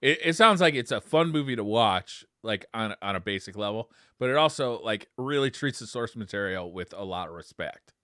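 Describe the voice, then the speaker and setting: A man's voice: lowish voice, lively intonation, fairly quick, few pauses, fairly bright tone, clean tone, no room sound, clean studio sound. A man co-hosting a podcast in casual, conversational chat. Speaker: podcast co-host. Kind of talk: casual chat about games and movies.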